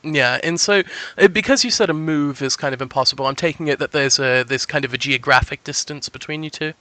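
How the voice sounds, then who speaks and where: A man's voice: on the high side, fairly quick, pushed and bright, dry recording. A man working as a psychic, talking in an effusive, reassuring, salesman-like way as he gives a conversational reading. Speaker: psychic giving a sample reading. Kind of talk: conversational phone reading for an audience.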